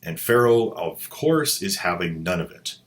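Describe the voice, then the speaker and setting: Deep-voiced sardonic male host. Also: animated, unhurried. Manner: sardonic, mocking, playful asides. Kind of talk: sarcastic commentary.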